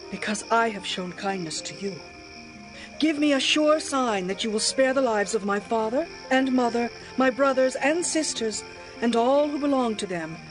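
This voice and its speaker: A woman's voice: medium pitch, natural voice, low-quality recording. Woman as an earnest ancient woman over music. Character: Rahab, earnest pleading woman of ancient Jericho. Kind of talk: dramatized scripture reading. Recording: music and chirping crickets behind.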